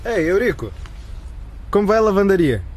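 A man's voice: high voice, big pitch swings, moderate pace, a little mellow. A man playing an earnest teenage schoolboy in a radio drama. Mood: casual, grumbling.

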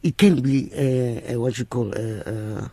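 A hoarse man with a South African accent, a doctor guest speaking haltingly with many fillers in a panel discussion. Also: mid-range voice, dark tone, dry recording. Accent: South African English.

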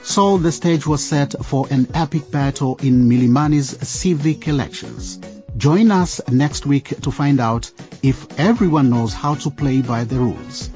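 A man's voice: medium pitch, unhurried. A man narrating a scripted radio drama as its storyteller. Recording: dull lo-fi sound with music behind.

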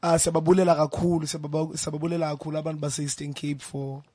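Fast-talking husky young man, Xhosa accent. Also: on the high side, little pitch movement, mellow, no echo. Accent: South African, Xhosa. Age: 20s. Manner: grateful, switches into Xhosa. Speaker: singer guest.

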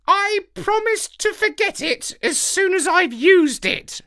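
A man voicing a clever, sharp-tongued male parrot who hacks computers, in a squawky, condescending put-on voice. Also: extremely high pitch, expressive, slow pace, voice pushed up, full character voice. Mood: smug. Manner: smug, condescending.

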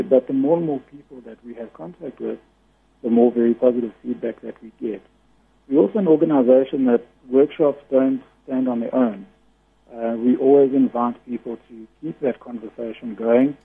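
Husky man on a tinny phone line. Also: mid-range voice, normal speed. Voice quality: loud voice. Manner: earnest, explanatory. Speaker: guest. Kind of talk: radio interview over the phone.

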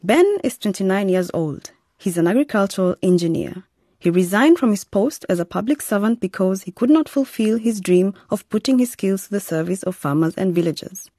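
A woman's voice: medium pitch, animated, fairly quick, mellow, no echo, studio quality. A woman presenting as narrator of a radio series, reading from a script.

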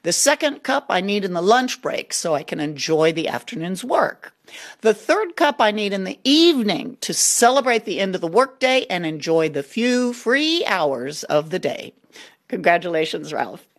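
A woman hosting a scripted radio programme in a chatty, expressive way. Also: mid-range voice, normal speed. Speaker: radio show host. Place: radio studio.

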